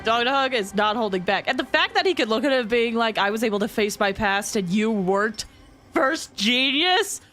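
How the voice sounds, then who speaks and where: A woman streaming a game with a fairly high voice. She comments casually in slangy, exclamatory bursts, with faint music behind her. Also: expressive, moderate pace, fairly bright tone. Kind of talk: casual live reaction commentary.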